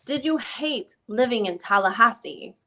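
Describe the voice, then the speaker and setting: A high-pitched female lawyer, voiced by a woman works through a deposition reading. She puts probing questions in a formal, somewhat halting way. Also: lively intonation, pushed and bright, heavily acted. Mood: questioning. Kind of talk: dramatic reading of a deposition transcript.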